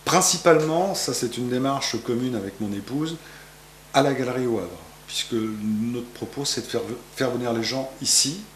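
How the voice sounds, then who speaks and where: A man's voice: mid-range voice, moderate pace, a bit bright, roomy sound, a little hiss or hum. A man in his fifties from France, a painter answering interview questions in his gallery, rambling with many fillers and restarts. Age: 50s. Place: art gallery, indoors.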